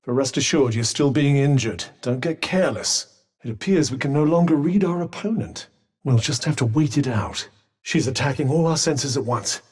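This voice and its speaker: A man's voice: medium pitch, normal speed, darkish tone, breathy edge, distant mic, close to an everyday voice. A man with a British accent voicing a talking magic cuff in a video game, a dry, eloquent companion who coaches through battle. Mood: grave, cautioning. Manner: sardonic, eloquent, urgent coaching.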